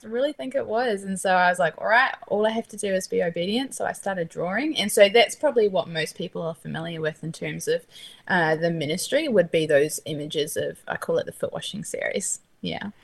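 Bubbly young Kiwi woman guest, fairly low voice, New Zealand accent, quick to laugh. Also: creaky, breathy edge. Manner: bubbly, laughs often, animated. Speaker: guest, artist and ministry founder. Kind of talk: casual interview chat.

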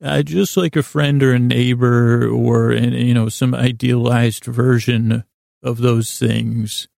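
A man hosting a podcast with a meandering, halting bedtime monologue, often trailing off mid-thought in a gravelly voice. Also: mid-range voice, flat delivery, a little mellow, dry recording, clean studio sound. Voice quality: very creaky, gravelly voice. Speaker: podcast host. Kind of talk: rambling bedtime storytelling monologue.

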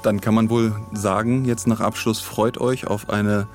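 A man's voice: fairly low pitch, flat delivery, a little slow, faint music behind. A man co-hosting a podcast in casual chat, rambling along with dry humour in a studio. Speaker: podcast co-host. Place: recording studio.

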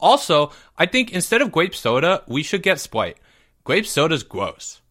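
Man as an earnest schoolboy, pitched up. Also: wildly swooping pitch, a little slow, a bit bright, exaggerated voice. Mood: earnest, complaining. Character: earnest, complaining schoolboy deputy marshal with motions.

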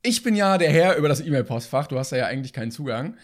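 A young man co-hosting a casual podcast, with a voice a bit higher than most men's. He jokes around and laughs often. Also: animated, very fast. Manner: joking, laughs a lot. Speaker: podcast co-host. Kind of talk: casual chat.

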